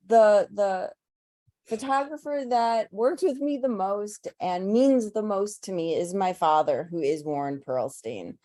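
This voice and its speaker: A woman speaks slowly as an interview guest, her voice dark and mellow. The sound is dry and close, and her manner is soft and earnest. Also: medium pitch, no echo. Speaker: guest, photographer's subject. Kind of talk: casual interview talk.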